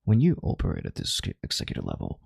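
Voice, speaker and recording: Man playing a very deep, gravelly, breathy CEO. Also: huge pitch swings, a little mellow, no echo, studio quality, heavily acted. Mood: smug, soothing. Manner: slow, deliberate, condescending, authoritative. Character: calm, controlling, arrogant CEO soothing his analyst. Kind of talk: intimate audio roleplay, ASMR-like.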